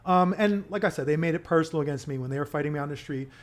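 A man's voice: a little high, rapid-fire. A man talking as a podcast guest in casual conversation, emphatic and rising in volume when he makes a point. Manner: emphatic, gets louder when making a point. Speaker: activist guest.

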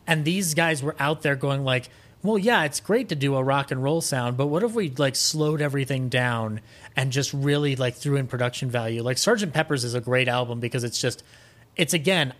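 A man talking as a podcast guest in a casual, enthusiastic way, animated, rambling and opinionated. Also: medium pitch. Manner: animated, rambling, opinionated, acts out imagined quotes.

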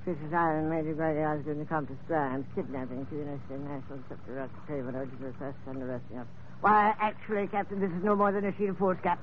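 A high-pitched, self-important major, acted by a man in a vintage radio drama. He schemes in ornate, courtly phrases. The old recording is narrow and faintly noisy. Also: fairly even intonation, quick pace, natural voice. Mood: cornered, calculating. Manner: florid, formal, pompous speech. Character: wily, pompous male major, genteel schemer.

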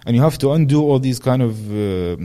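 A man's voice: mid-range voice, lively intonation, fairly quick, mellow. A young man from Jordan hosting a podcast interview, talking in a casual, rambling way and swearing freely. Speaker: podcast host.

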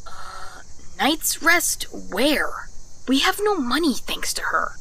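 A woman's voice: a little high, sing-song, slow pace, sharp tone, husky, heavily acted, poor audio. A woman playing a sour, grudging travel companion who mutters sarcastically in a fantasy audio drama. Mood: sarcastic.

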